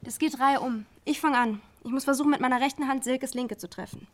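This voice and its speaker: An actress playing a young, brash gang member who speaks in blunt commands, in radio drama dialogue. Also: female voice, high voice, brisk, noisy background, lightly acted. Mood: blunt, bossy.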